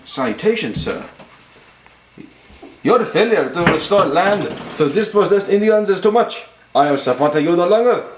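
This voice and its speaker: A man's voice: a little high, natural voice. A man voicing a polite but defiant rebel general puppet with formal, declamatory delivery. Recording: echoey room, background noise.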